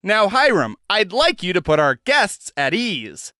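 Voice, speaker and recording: An eager, upbeat alien host, as a man reads him, sitting well above the man's own pitch and leaping around lively. He stays polite and brisk, with a bright, dry sound. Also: dry recording, heavily acted. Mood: coaxing. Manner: brisk, enthusiastic, courteous.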